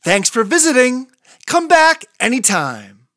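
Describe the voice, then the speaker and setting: A storybook narrator reads for young children with careful, unhurried delivery. The pitch sits in a middle range but moves up and down in a lively way, and the sound is dry and close. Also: dry recording. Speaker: children's picture book narrator. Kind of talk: scripted read-aloud narration for children.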